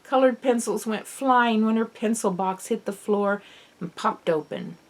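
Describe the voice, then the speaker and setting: A woman author reading her own children's novel aloud in the first person, as expressive, dramatic storytelling. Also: on the high side, unhurried. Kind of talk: audiobook narration of children's fiction, first person.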